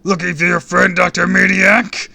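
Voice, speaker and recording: A man voicing a huge, volatile comic-book supervillain, loud and booming, bellowing explosive threats in a storybook reading. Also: level tone, normal speed, creaky, no echo, full character voice. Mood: menacing.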